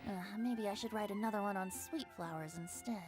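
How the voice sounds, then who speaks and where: A woman's voice: mid-range voice, a little fast, over music, natural voice. A woman voicing a genial, whimsical boyish bard in an anime video game. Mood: musing.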